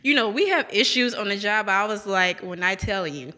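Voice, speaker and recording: Woman, podcast host, bright voice, casual chat, laughs often. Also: medium pitch, animated, normal speed. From American South. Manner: chatty, laughing, addresses listeners as you guys.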